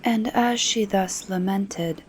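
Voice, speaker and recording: A woman softly narrating a fairy tale as ASMR bedtime storytelling, at times nearly whispering. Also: medium pitch, slow. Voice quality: soft, partly whispered. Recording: noticeable background noise.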